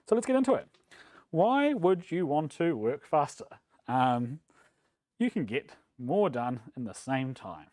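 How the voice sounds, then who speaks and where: A man's voice: medium pitch, dry recording. New Zealand man giving a casual tech talk. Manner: relaxed, self-deprecating, many ums and uhs. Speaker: conference speaker. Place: meetup room.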